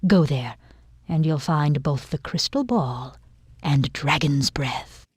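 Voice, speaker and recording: A woman playing a defeated, vengeful ice queen in a video game, speaking in a breathy, whispery voice. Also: sits low, takes it slowly. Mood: fading, vengeful. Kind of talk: video game cutscene acting.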